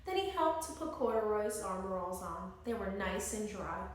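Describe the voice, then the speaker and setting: A woman teacher reading a story aloud to young children, expressively, pausing to ask them questions. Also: medium pitch, lively intonation. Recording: very echoey room, distant mic, faint noise.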